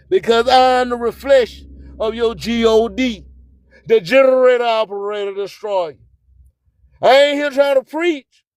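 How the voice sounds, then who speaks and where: A Southern US man delivers a hype radio monologue in a very high-pitched, clear voice. He takes his time, proclaiming each phrase emphatically like a preacher. His delivery is rhythmic, rhyming and full of boasts. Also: darkish tone, dry recording. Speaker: internet radio host.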